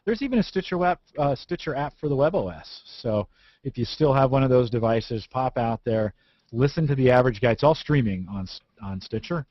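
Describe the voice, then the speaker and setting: Man, podcast host, middle pitch, rambling and chatty, dry close studio sound. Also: lively intonation, fairly quick, no room sound, lo-fi sound. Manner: chatty, rambling with many fillers and restarts. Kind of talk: casual tech podcast chat.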